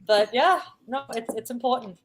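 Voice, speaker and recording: A woman with a light Singaporean accent talks easily as an interview guest. She is playful and chatty, laughs often and fills her pauses with "um" as she tells her stories. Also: a little high, slight background noise. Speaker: musical guest. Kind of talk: casual interview chat.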